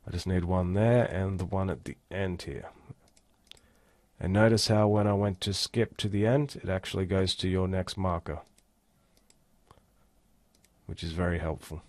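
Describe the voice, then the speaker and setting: A man giving a casual, step-by-step software walkthrough as an instructor. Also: deep voice, steady tone, measured pace, long pauses, no room sound. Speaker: tutorial instructor. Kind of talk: screen-recorded software tutorial, casual walkthrough.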